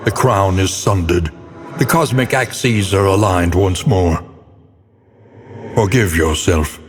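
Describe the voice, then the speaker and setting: A man playing a brooding, eloquent mentor who narrates a dark video game in a solemn, theatrical, portentous manner. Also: deep voice, takes it slowly, big-room sound. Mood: grave, reflective. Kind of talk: dramatic scripted game narration.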